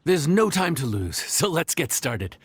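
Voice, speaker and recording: Lively, affable cook mentor. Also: male voice, fairly high pitch, measured pace, a little hiss or hum. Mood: reassuring. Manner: encouraging, folksy, explanatory. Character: affable, patient male inn cook mentoring newcomers. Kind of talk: video game cutscene acting.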